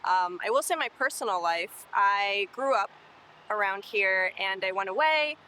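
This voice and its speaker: A woman's voice: mid-range voice, expressive, unhurried, pushed and bright, rough recording. A young woman from Colombia who works on city sustainability, answering oral history interview questions earnestly and hopefully, with some fillers.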